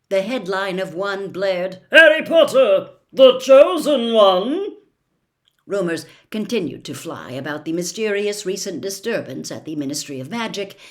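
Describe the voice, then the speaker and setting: Expressive woman storyteller with swooping intonation. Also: mid-range voice. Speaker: storyteller reading a novel aloud. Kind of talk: expressive audiobook-style storytelling.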